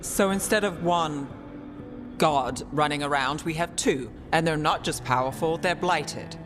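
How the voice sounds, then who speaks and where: A woman playing a cool, sardonic investigator in a fantasy video game, with a dry and measured way of talking. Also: sits low. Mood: cool, wry. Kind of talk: video game cutscene acting. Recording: loud music behind the voice.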